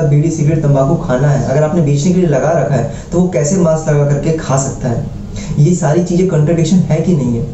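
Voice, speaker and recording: A man's voice: fairly high pitch, mellow. A man giving an earnest opinion monologue to viewers, exhorting them with rhetorical questions. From India. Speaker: social commentary vlogger. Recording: dull lo-fi, roomy echo, faint noise.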